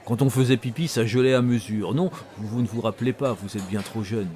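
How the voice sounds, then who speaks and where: A man's voice: medium pitch, steady tone, brisk, a little mellow, slight background noise, close to an everyday voice. An actor playing a talkative old ex-soldier, telling his story conversationally and teasingly in an audio drama. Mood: wry, reminiscing. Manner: conversational, teasing, addresses the listener directly. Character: talkative old male ex-soldier telling tall tales in a tavern.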